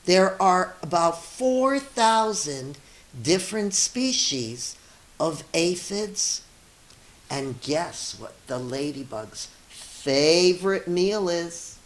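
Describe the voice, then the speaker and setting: Slow-paced mature woman reading to children. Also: lowish voice, lively intonation, low-quality recording. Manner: instructive, addresses young listeners directly. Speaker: teacher reading stories to children. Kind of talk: children's read-aloud with simple explanations.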